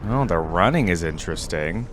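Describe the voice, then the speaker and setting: A man's voice: low voice, lively intonation, moderate pace, over music. A man hosting a reaction video, chatting informally and thinking aloud as he watches. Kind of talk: casual live reaction commentary.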